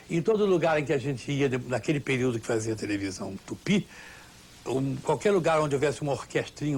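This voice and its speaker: A man's voice: mid-range voice, a little hiss or hum. A man reminiscing in an interview as a veteran actor, emphatic and repeating his phrases for weight.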